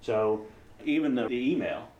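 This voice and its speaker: A man's voice: mid-range voice, lively intonation, moderate pace, a little mellow, highs cut off. A man speaking casually as an amateur radio operator being interviewed, explaining how things work. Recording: roomy echo, some background noise.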